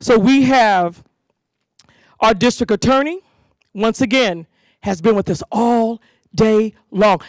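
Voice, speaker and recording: A woman hosting a school community safety event, giving an animated speech to parents and leading call-and-response chants. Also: fairly high pitch, takes it slowly, long pauses, dry recording, clean studio sound.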